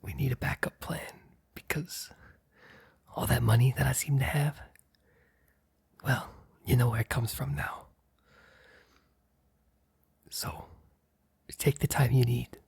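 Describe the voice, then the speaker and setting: A man playing a guarded, earnest mob boss boyfriend in an intimate ASMR roleplay, speaking in a hesitant, breathy, husky whisper. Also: mid-range voice, steady tone, slow pace, long pauses, a little mellow, exaggerated voice. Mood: resigned, earnest. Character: guarded, apologetic young male mob boss, boyfriend confessing.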